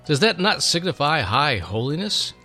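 Man as an eager young pupil, raised lively pitch. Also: moderate pace, faint music behind. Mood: earnest, questioning. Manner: inquisitive, deferential, thoughtful. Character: eager, earnest young male servant and pupil. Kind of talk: dramatic storybook reading.

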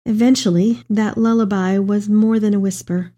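A woman's voice: mid-range voice, fairly even intonation, moderate pace, mellow, lightly acted. A woman playing an elderly, tender mother reading her letter aloud in a reflective dramatic reading. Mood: confiding, wistful.

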